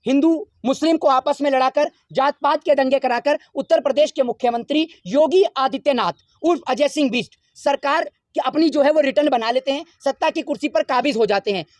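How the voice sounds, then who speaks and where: A man's voice: very high voice, brisk, few pauses, dry recording. A man giving impassioned political arguments in an interview, lecturing with pointed rhetorical questions.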